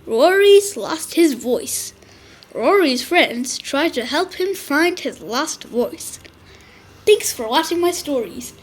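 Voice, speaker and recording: Brief: a woman reading a kids' book aloud, unhurried and expressive. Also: sits high. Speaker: children's storyteller.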